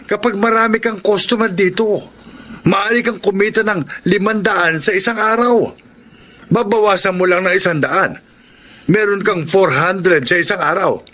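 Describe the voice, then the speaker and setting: Pushy, high, bright-voiced older brother. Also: male voice, normal speed. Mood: insistent. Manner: insistent, coaxing. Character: pushy, persuasive older brother pitching a loan. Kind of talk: Tagalog radio drama acting.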